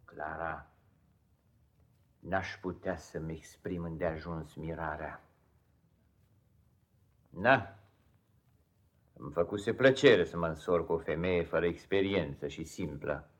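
An actor playing a cold, domineering, self-righteous husband in a radio play, pompous and stern, with deliberate theatrical delivery. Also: male voice, low-pitched. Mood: indignant, pompous. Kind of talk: 1950s radio theatre acting.

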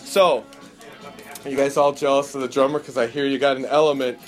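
A man interviewing a band before a show, asking crude questions with deadpan teasing humor. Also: mid-range voice, brisk. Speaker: podcast interviewer. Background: music playing.

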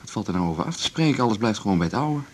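An actor playing a guarded music teacher in a radio drama, terse, weary and dismissive. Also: male voice, on the low side, animated, racing, a little mellow. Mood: curt, guarded. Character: curt, guarded male music teacher ending an affair. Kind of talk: naturalistic radio drama dialogue.

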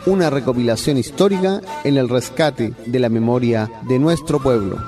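A man's voice: mid-range voice, normal speed. A man announcing the titles of a radio drama in a solemn, declamatory manner. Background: loud music.

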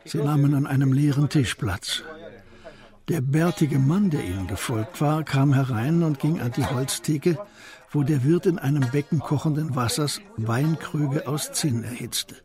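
A man narrating a historical crime radio play in a measured, literary style, as a sober storyteller. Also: mid-range voice, over music, close to an everyday voice. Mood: sober, suspenseful.

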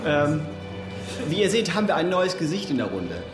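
A man's voice: on the high side, very animated, normal speed. An actor playing a patient, probing man who leads a self-help group in a radio drama. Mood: coaxing. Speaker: group moderator. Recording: echoey room, loud music behind.

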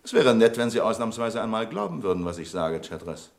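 An actor playing a strict, irritable bank manager in a radio play, curt, officious and sarcastic. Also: male voice, fairly low pitch, big pitch swings, quick pace, gravelly, background noise. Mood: dry, sarcastic. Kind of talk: German radio play acting.

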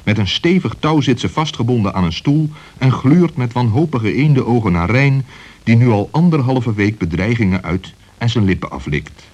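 A man tells a children's story from a script. His voice is husky and sits at a middle pitch. He moves it widely up and down for dramatic, expressive narration. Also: moderate pace, highs cut off.

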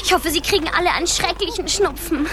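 Very high, plaintive little girl. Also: fast talker, noisy background. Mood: defiant. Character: small girl, plucky, plaintive and outspoken. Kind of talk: German radio play acting.

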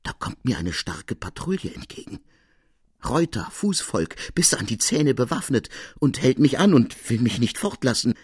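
Mann spielt aufgeregten, verängstigten treuen Diener. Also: male voice, mid-range voice, sing-song, moderate pace, slightly rough, no room sound, voice pushed up. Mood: agitated. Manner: agitated, breathless storytelling, urgent. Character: honest frightened servant man, pale and distraught. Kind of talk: dramatic audiobook character reading.

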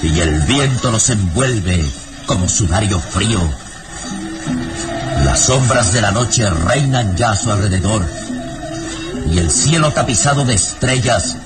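Man narrating an old radio drama, lowish voice, spooky tone, music under it. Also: lively intonation, measured pace, poor audio. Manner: ominous, theatrical.

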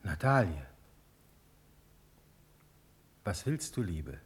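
A man's voice: low-pitched, big pitch swings, normal speed. An actor playing a fatherly but stern older prince in a classical verse drama, speaking in a dignified, weighty, rhetorical way. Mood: gentle, paternal.

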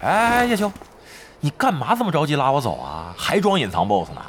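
A man's voice: a little high, huge pitch swings, brisk, a bit bright, a little hiss or hum, exaggerated voice. A man playing a brash, nosy, somewhat sleazy gamer in an audio drama, effusive and slangy in his talk. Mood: nosy, pressing.

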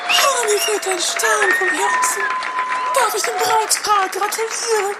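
Woman acting an extremely high-pitched, gushing diva. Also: fairly even intonation, a little slow. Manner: gushing, theatrical, whiny. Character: excitable, self-promoting diva sister. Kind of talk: amateur comedy radio play acting. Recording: noisy party, loud music and crowd.

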